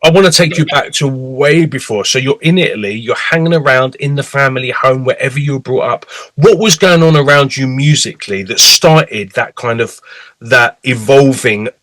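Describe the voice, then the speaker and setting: A man's voice: medium pitch, normal speed, a bit bright, no room sound. A man hosting a talk show interview, hyping up his guest and joking around. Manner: hype-building, joking, British slang. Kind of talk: enthusiastic interview banter.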